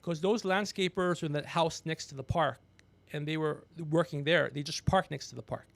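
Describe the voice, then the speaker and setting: A gregarious man hosting a podcast, telling rambling comedic stories with animated, teasing asides. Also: fairly high pitch, moderate pace, creaky, no echo. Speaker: podcast host. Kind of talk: rambling comedic storytelling on a livestream.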